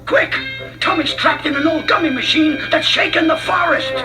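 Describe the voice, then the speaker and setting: Very high, flustered cartoon bear. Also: male voice, normal speed. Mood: flustered, urgent. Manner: flustered, hesitant. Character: anxious, well-meaning male cartoon bear. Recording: roomy, distant, loud music behind. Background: music.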